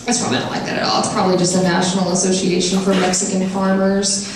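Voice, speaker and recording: A woman's voice: mid-range voice, completely flat delivery, fast. A young woman doing a stand-up set in a dry, self-mocking style on a comedy club stage. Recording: echoey room from far off, crowd noise.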